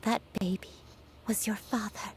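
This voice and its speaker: A woman playing a gentle elderly grandmother with a failing memory, speaking slowly and haltingly in a tender, haunted way in a game. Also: mid-range voice, fairly even intonation, a little hiss or hum, close to an everyday voice. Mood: emotional recollection. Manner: slow, halting, wistful delivery. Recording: game dialogue with music behind.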